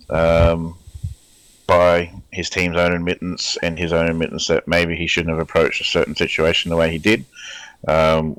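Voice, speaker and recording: An Australian race director in an online interview, explaining rulings in a measured way with frequent ums. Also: male voice, sits low, fairly bright tone, clean tone, no echo, poor audio.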